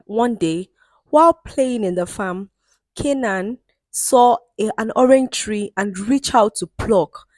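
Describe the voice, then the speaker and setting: A woman narrating a folktale in an expressive, dramatic storytelling manner. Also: mid-range voice, slow, no echo.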